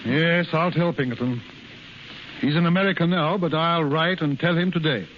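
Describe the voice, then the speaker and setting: Male actor as measured American official, slightly high voice, patient authority, muffled vintage radio drama. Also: natural voice. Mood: reassuring. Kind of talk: 1930s radio acting. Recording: muffled hissy 1930s radio broadcast.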